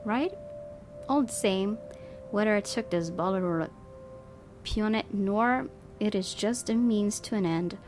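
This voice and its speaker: A woman gaming host reading visual novel narration aloud in character, expressive and wistful. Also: medium pitch, unhurried, long pauses. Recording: music behind the voice.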